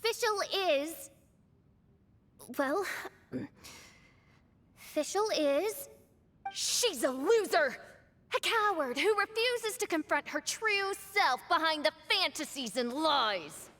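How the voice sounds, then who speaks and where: A proud young princess from a video game, declaiming her lines with grandiose drama. Her voice is high and forcefully bright, and she takes her time over each phrase. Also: very animated, takes it slowly, long pauses, full character voice. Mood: hesitant. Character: proud, theatrical young princess facing her mocking dark self. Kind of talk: theatrical video game voice acting.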